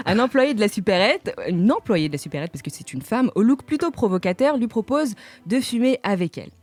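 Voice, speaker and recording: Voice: mid-range voice, animated, fairly quick, darkish tone, no echo. A radio columnist presenting manga in a casual chat, with playful jokes to the listeners. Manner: playful, jokey asides to the audience. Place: radio studio.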